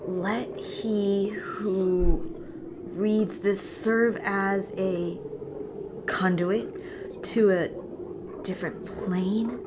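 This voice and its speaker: A woman's voice: mid-range voice, noisy background. A woman playing a determined young occult student turned frightened captive in an audio drama. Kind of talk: audio drama acting and first-person narration.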